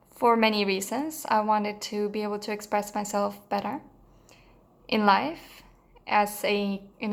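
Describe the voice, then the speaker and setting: Hesitant Mexican woman, flat even voice. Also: medium pitch, vocal fry. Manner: hesitant, many fillers and restarts, earnest. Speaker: course participant giving a testimonial. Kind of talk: unscripted spoken testimonial.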